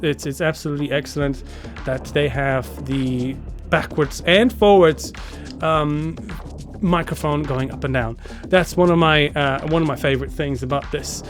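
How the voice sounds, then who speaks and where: Higher-voiced man reviewing gear, halting delivery. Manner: halting, repeats words and phrases. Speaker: product reviewer. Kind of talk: casual unscripted gear review to camera.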